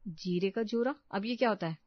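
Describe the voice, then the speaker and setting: A woman performs a bossy housewife from a family storybook tale. She is haughty and quick to scold, in a mid-pitched voice. The sound is dry and a little dull. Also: dry recording, close to an everyday voice. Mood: curious, doubting. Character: bossy middle-class housewife, skeptical employer of a maid.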